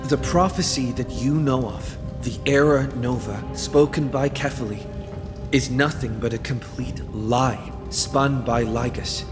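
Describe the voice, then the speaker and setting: A calm, careful hero played by a man speaks slowly at a medium pitch. His serious, deliberate game-drama delivery echoes in a long hall reverb. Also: lightly acted. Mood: guarded, earnest. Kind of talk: dramatic video game voice acting. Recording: long hall reverb under loud music.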